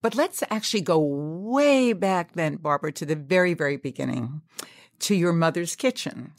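Woman interviewer, very low voice, brisk questions, dry room. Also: animated, no room sound. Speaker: interview host. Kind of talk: conversational interview.

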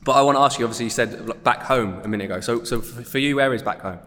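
A man's voice: medium pitch, a bit bright, in a large hall. A man hosting a gym podcast, asking casual questions and repeating words as he goes. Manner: quick, chatty, repeats words. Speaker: podcast host, gym coach.

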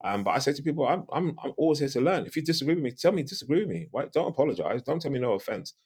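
A man's voice: medium pitch, sing-song, racing, a little mellow, slightly rough. A middle-aged man from the UK, a podcast guest and coach, giving emphatic advice with a big voice, repeating his key phrases and asking rhetorical questions.

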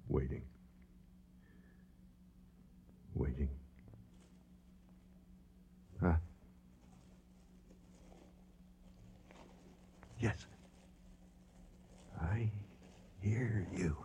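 A lone hunter in a radio play, worn out and gripped by fear. His delivery is extremely slow and broken, with wide swings of pitch over a faintly noisy recording. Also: creaky, heavily acted. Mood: weary, waiting. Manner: halting, broken fragments, muttering repetition. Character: exhausted, terrified lone hunter stalking a lion at night. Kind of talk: dramatic radio monologue.

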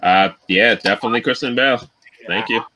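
A man's voice: lowish voice, brisk, sharp tone, a little hiss or hum. A man co-hosting a podcast, bantering casually in an argumentative, teasing way. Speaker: podcast co-host.

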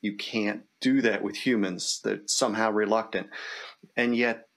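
A man talks as an interviewer in a fairly low voice. His delivery is conversational, with a dry, wry streak of understatement. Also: fairly even intonation, a little slow, a bit bright.

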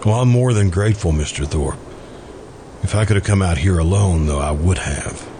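A man playing a polite, grieving confessed murderer in a hushed, pleading manner, in the style of old-time radio suspense. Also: low voice, moderate pace, noisy background, voice pushed down, natural voice. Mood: subdued, grateful.

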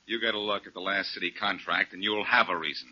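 An actor playing a confident, wisecracking amateur sleuth who needles and insists, in 1940s radio drama style. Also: male voice, deep voice, steady tone, fast, sharp tone, husky, full character voice. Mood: insistent, needling. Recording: muffled vintage 1940s radio recording.

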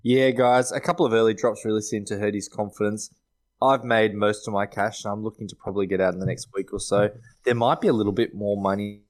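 A man giving casual fantasy sport advice as a podcast panellist. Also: on the low side.

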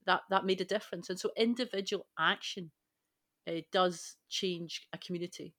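A woman's voice: fairly low pitch, steady tone, moderate pace, fairly bright tone. A woman speaking as a panellist in a conversational, reflective podcast discussion.

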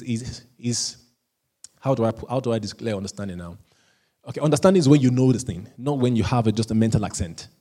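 A pastor preaching a sermon, often repeating and restarting phrases, and chuckling at himself now and then. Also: male voice, medium pitch. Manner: rises into louder, slower emphatic reading of scripture.

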